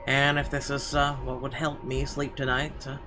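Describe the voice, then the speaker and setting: A man reading a horror visual novel aloud in an expressive, theatrical narration with weighty pauses. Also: mid-range voice. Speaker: let's player. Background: game music.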